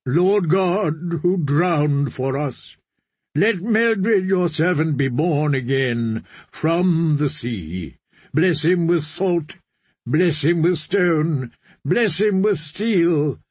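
A man's voice: fairly high pitch, animated, a little mellow, slightly rough, no echo, full character voice. A man doing a stern, fervent sea priest. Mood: solemn. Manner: solemn, preaching, measured.

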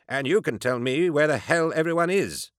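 Man acting a pompous, high-toned haughty scholar. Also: expressive, moderate pace, clean tone, no room sound, clean studio sound, lightly acted. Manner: pompous, imperious. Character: haughty, querulous male academic doctor, piqued and self-important.

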